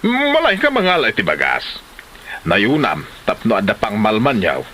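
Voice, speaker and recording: A man's voice: on the low side, wildly swooping pitch, moderate pace, pushed and bright, a little hiss or hum, heavily acted. A man acting a grumbling but generous father in an Ilocano comedy drama. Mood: exasperated.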